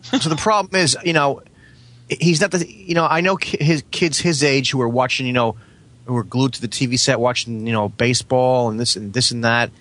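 A man chatting casually in a halting, insistent way, repeating himself and sometimes swearing. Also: medium pitch, steady tone, fairly bright tone. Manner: halting, repetitive, insistent, profane. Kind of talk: casual chat.

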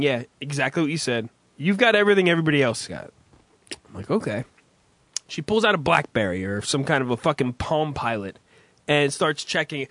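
A man hosting a crude comedy podcast, raspy from a recent cough, cursing and rambling through stories. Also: a little high, a bit bright, no echo. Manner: profane, rambling storyteller. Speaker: podcast host.

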